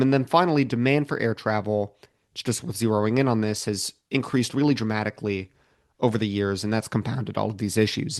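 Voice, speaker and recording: An American man co-hosting a podcast, explaining things in a measured way from prepared notes. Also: fairly low pitch, no room sound, studio quality. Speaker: podcast co-host.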